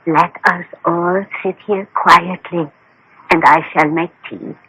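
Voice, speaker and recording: A performer playing the protective, pleading sibling of a fortune teller in a radio drama, speaking formally and gently. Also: mid-range voice, steady tone, takes it slowly, natural voice. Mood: protective, urgent. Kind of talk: 1970s-80s radio drama acting. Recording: noisy old radio broadcast with clicks.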